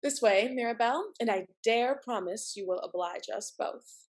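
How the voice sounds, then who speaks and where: Woman playing high, effusive society wife. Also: normal speed, close to an everyday voice. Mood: coolly sarcastic. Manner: theatrical, exclamatory, mannered. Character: married society woman, arch, effusive, sharp-witted wife. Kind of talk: Restoration comedy stage acting.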